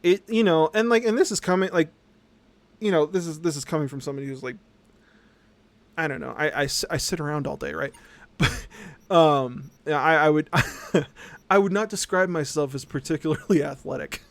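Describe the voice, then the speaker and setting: A man hosting a livestream, rambling casually in an animated, sarcastic, sweary storytelling way. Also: on the high side, normal speed, creaky. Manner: acts out mocking quotes. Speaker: livestream host.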